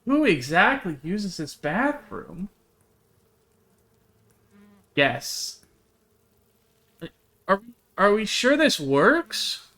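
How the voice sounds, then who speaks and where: A man's voice: high voice, big pitch swings, drawn-out words, long pauses, pushed and bright, voice pushed up, full character voice. A man voicing an awkward, sarcastic visitor from the city in a visual novel, casual and a little hesitant. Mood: curious, uneasy. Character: sarcastic, awkward city visitor being shown around an old house. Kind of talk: reading visual novel dialogue aloud.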